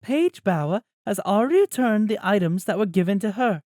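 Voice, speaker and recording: A man voicing a formal, composed mother in an audiobook, speaking in an even, official manner. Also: medium pitch, normal speed, no echo, clean studio sound, pitched above the performer's own voice, lightly acted. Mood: formal, firm. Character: formal, composed mother addressing wronged neighbors. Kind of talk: audiobook character voice.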